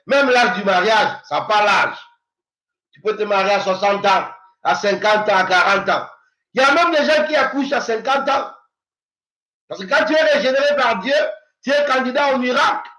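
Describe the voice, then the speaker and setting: High bright-voiced preacher man in his fifties. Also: animated, moderate pace, reverberant, rough recording. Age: 50s. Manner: preaching with swings from loud exhortation to quiet prayer. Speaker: pastor. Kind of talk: sermon.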